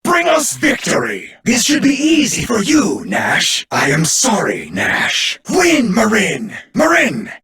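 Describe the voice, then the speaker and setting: A noble warrior of an otherworldly realm speaks in a gravelly voice that swings widely in pitch. He is loyal and solemn, delivering formal, earnest declarations in the grand style of an anime card game. His tone is high for a man and rough around the edges. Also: huge pitch swings, slow, few pauses, pushed and bright, heavily acted. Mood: earnest, pleading.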